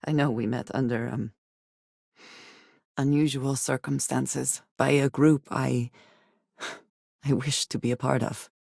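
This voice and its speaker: An actress playing a guarded, earnest female spacefaring companion in a video game, speaking in formal, measured wording. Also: extremely low pitch, fairly even intonation, gravelly, a little mellow, breathy edge, no room sound, clean studio sound, heavily acted. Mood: tender, hesitant. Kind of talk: video game character dialogue.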